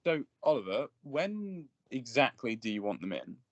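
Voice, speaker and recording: A man's voice: medium pitch, sing-song, normal speed, muffled recording. A young man in a table read on a video call, asking questions politely and hesitantly with many fillers. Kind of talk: casual chat.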